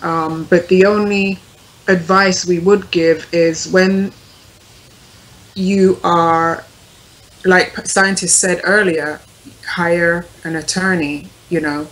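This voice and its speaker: A woman's voice: lowish voice, steady tone, slow, rough recording. A woman talking informally on a discussion panel, explaining things in a careful way. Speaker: talk show panelist.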